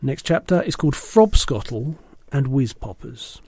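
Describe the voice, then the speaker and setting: Gravelly man reading a children's story. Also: mid-range voice, sing-song, measured pace, mellow. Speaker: storybook reader. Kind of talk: expressive children's story narration.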